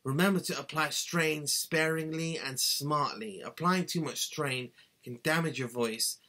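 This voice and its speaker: A man's voice: a little high, a little slow, bright, forceful tone. A man in his thirties giving an earnest, instructive singing lesson, talking to a webcam in a small cluttered bedroom. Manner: earnest, instructive, gestures with both hands. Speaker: singing tutor. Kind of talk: scripted how-to talk to camera.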